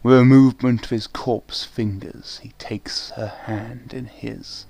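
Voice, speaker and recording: A man narrating a gothic radio play in a deliberate, theatrical scripted style. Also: mid-range voice, fairly even intonation, creaky, warm tone, slight background noise. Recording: faint music behind narration.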